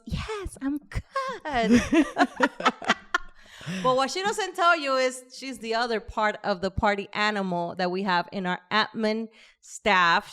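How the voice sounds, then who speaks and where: A woman's voice: on the high side, smooth. A woman with a Latin American Spanish accent hosting a podcast, chatting casually and heaping praise on her guest. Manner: effusive, warm praise with frequent laughs. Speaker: podcast host. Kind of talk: casual interview chat.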